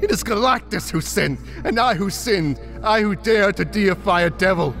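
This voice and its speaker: A man's voice: high-pitched, moderate pace, a bit bright, faint music behind. A man playing a grieving, self-blaming brother in a comic-book drama, impassioned and melodramatic. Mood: anguished, bitter.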